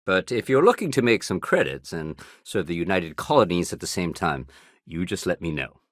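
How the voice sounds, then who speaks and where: A man's voice: low voice, sing-song, moderate pace, no room sound, clean studio sound. A man voicing a dutiful, weary security sergeant in a sci-fi video game, speaking in a clipped, businesslike but conversational way. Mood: casual, easygoing. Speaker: security officer. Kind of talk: video game dialogue acting.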